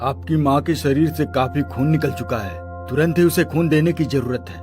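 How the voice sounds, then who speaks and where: A man's voice: on the high side, normal speed, natural voice. A man playing an earnest hospital doctor in a Hindi cartoon moral story, formal and matter-of-fact. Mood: grave, urgent. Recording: music under the voice.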